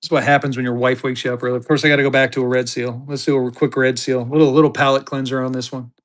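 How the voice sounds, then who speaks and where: A tired man running a live banknote auction on a stream, hyping bids in quick bursts and talking about himself in the third person. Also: medium pitch, flat delivery, a bit bright.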